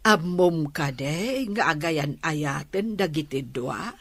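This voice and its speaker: An actress playing a weary, gently chiding mother in a Filipino radio drama, with an Ilocano accent. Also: sits low, expressive, takes it slowly, no room sound, exaggerated voice. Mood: coaxing.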